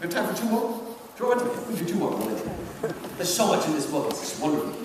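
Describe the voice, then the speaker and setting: A man lectures on a book with a high, very animated voice. He stresses and repeats points and keeps checking in with listeners. The sound is distant, in a big reverberant hall with some noise. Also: fast. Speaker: lecturer giving commentary on a book. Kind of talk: animated public lecture on literature. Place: large hall, public event.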